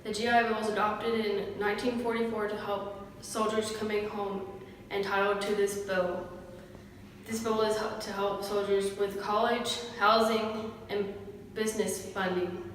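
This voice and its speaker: A woman's voice: medium pitch, little pitch movement, measured pace, a little hiss or hum. A woman delivering a scripted news report as a reporter. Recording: very echoey hall, distant mic.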